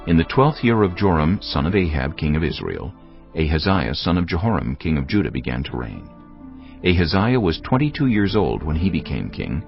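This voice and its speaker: A man's voice: a bass voice, gravelly. A man narrating scripture solemnly for a dramatized audio production. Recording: dull lo-fi audio with music.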